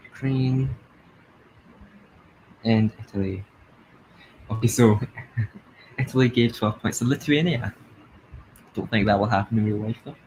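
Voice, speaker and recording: A young man with a Glasgow-area Scottish accent, chatting excitedly and laughing as he comments on a live stream. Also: mid-range voice, slight background noise, low-quality recording. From Scotland.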